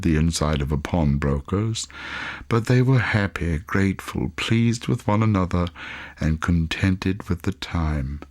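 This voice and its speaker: Deep-voiced man reading a classic story. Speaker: audiobook reader. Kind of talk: expressive literary storytelling.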